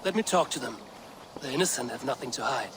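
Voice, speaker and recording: A man's voice: mid-range voice, animated, a bit bright, slight background noise, lightly acted. Man playing a stern, probing Viking warrior. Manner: measured, direct. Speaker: video game protagonist. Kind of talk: video game dramatic acting.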